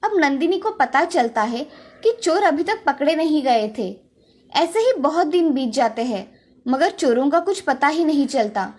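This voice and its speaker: A woman's voice: high-pitched, expressive, measured pace, a little mellow. A woman narrator reading a scripted moral story for children.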